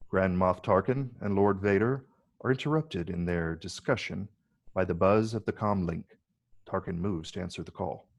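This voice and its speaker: Brief: male narrator for screenplay directions, low voice with husky grain, measured delivery. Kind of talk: scripted narration.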